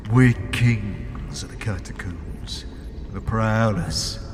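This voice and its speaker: A man voicing an amiable, mangled undead scavenger with rough rustic speech in a fantasy audio drama. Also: lowish voice, slow, noisy background. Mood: rueful. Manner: colloquial, rustic grammar and slang. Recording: long cavernous reverb, music behind.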